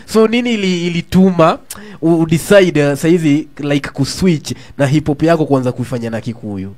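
A Kenyan man hosting a radio show, with a fairly high voice. He speaks in a relaxed, chatty way, asking long questions that mix English and Swahili slang. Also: a little fast. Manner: relaxed, chatty, frequent "uh-huh" backchannels. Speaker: radio host. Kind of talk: casual radio interview.

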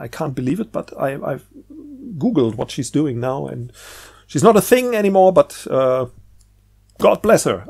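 A man with a slightly high voice and a strongly creaky edge talks casually, as a book vlogger would. He is hesitant and halting, circling back over his words as he rambles along. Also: a little mellow.

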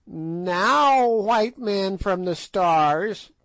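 A man voicing an ancient, wicked witch woman, with a high piping cackle and slow gloating delivery. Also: expressive, a bit bright, dry recording, heavily acted. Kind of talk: audiobook character voice.

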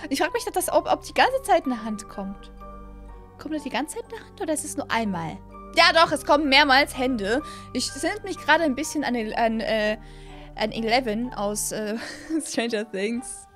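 A young adult woman giving casual, excitable gameplay commentary as a host, repeating phrases and stumbling over words, close on a studio mic. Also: high-pitched, moderate pace, faint music behind. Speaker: gaming video host. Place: small room at a gaming setup, indoors. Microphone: close studio mic on boom arm.

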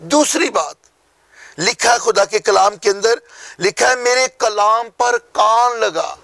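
A middle-aged Pakistani man preaches in a fairly high voice with a gravelly edge. He is emphatic and repeats his phrases in sermon fashion. The sound is dry and close, from a lapel mic in a studio. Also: expressive, slow pace, pushed and bright, no room sound. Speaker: pastor. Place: small TV studio.

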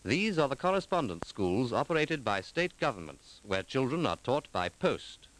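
A man reading scripted narration for a 1940s documentary film in a measured, formal, articulate manner. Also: mid-range voice, big pitch swings, fairly bright tone, rough recording. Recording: 1940s film soundtrack with music.

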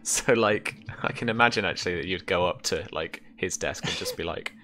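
A young man casually narrating as game master and explaining rules, in a hesitant, halting way, on a video call. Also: deep voice, pushed and bright. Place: indoor room at home, over video call. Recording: faint background noise.

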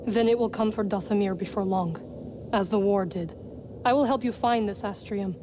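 A woman's voice: mid-range voice, moderate pace, close to an everyday voice. A woman playing a proud, guarded young witch in a video game cutscene, speaking tersely and formally with solemn grief. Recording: music behind the voice.

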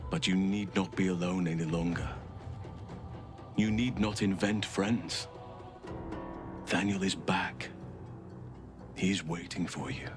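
An actor playing a solemn, gentle middle-aged elven archdruid in a fantasy game, speaking in a measured, earnest, formal way. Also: male voice, low-pitched, music in the background. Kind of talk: scripted fantasy game dialogue.

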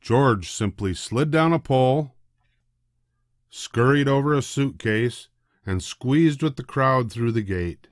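Older guy reading a kids picture book, slow and super expressive, lots of up and down. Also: mid-range voice, no room sound, clean studio sound. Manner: deliberate, expressive. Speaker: storybook reader. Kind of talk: children's storybook narration.

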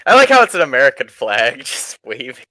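A man's voice: medium pitch, very animated, a little fast, pushed and bright, slight background noise. A man casually telling stories to friends and a live chat as a streamer, crude and swearing heavily.

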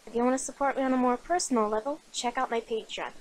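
A woman's voice: fairly high pitch, fast, rough recording. A woman speaking as a video creator, addressing her viewers in a channel outro.

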